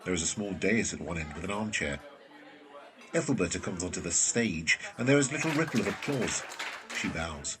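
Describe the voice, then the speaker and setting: A man's voice: sits low, expressive, moderate pace, a crowd in the background. A man reading scripted scene narration for a period drama. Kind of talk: scripted narration of stage directions.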